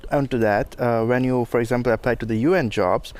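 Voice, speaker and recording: A man's voice: medium pitch, steady tone, quick pace, darkish tone. A man speaking as a panel guest in a studio, giving earnest, instructive advice. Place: studio for a live stream.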